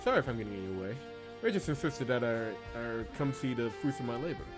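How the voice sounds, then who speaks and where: A man's voice: mid-range voice, expressive, quick pace, close to an everyday voice. Man voicing hesitant, polite young woman fixer, music behind. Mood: apologetic.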